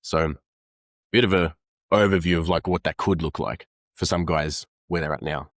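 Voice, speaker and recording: A man's voice: low-pitched, steady tone, moderate pace, no echo, studio quality. An Australian man, a men's coach, explaining ideas earnestly in an interview, with many fillers. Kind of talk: conversational interview.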